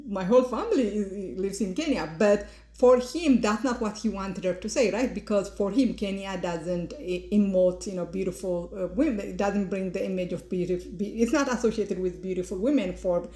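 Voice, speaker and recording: A woman's voice: medium pitch, normal speed, hardly any pauses, mellow. A woman from Ethiopia, hosting a podcast and giving a critical, mocking commentary with many fillers and restarts. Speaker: podcast host. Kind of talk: opinionated commentary monologue. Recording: roomy echo.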